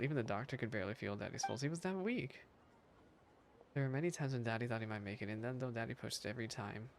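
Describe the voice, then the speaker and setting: A man's voice: medium pitch, background noise. A man giving casual, reactive playthrough commentary, sometimes reading game text aloud and repeating himself for emphasis. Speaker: gaming reaction streamer.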